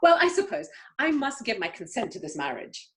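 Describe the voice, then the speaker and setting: Woman playing imperious aunt, wildly swooping high voice. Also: very animated. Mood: lofty, pronouncing. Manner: haughty, emphatic, halting delivery with stumbles. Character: imperious, snobbish older upper-class aunt, grandly judging everyone. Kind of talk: amateur play read-through.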